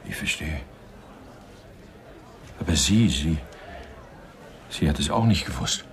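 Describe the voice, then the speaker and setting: An actor playing an uneasy, proper gentleman in a radio crime drama, stammering and agitated. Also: extremely low pitch, expressive, slow, heavily acted. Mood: bewildered. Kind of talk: 1990s German radio crime drama acting.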